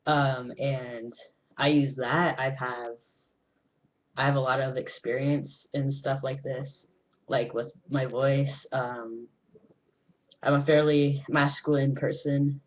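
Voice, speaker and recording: A young man talking earnestly to camera in a personal vlog, pausing often with filler ums. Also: medium pitch, level tone, muffled recording, slight background noise. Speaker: vlogger. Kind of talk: casual personal talk to camera. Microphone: webcam.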